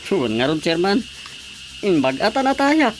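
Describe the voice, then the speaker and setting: A woman's voice: medium pitch, big pitch swings, normal speed, soft background music, close to an everyday voice. A woman with an Ilocano Filipino accent playing a dead, gossipy village chairman in a radio comedy, chuckling and exclaiming. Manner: chuckling, exclamatory comic delivery.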